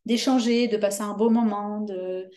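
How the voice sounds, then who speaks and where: A woman from France with a French accent, describing her community project in an interview, pausing often to find her words. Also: mid-range voice, fairly even intonation, warm tone. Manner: thoughtful, frequent hesitations. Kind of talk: conversational interview.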